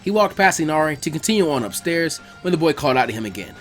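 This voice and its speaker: A man reading a scripted story aloud as its narrator, in an animated storytelling style. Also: fairly high pitch, fast, faint music behind. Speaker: narrator of fan fiction readings.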